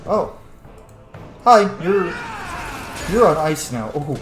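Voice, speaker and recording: Animated, sweary man commentating a game. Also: on the high side, slow, over music. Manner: sweary, chatty, theatrical. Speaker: host of a video game let's-play. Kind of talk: casual gaming commentary. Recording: roomy echo.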